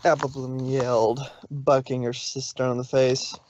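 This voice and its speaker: A man's voice: mid-range voice, little pitch movement, normal speed, lo-fi sound, background noise. A man steadily narrating a fanfiction reading. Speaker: reader.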